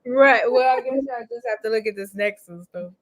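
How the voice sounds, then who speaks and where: A woman's voice: on the high side, moderate pace. Giggly, teasing woman co-host. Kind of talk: casual livestream chat. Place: home room with a slight echo, on a video call.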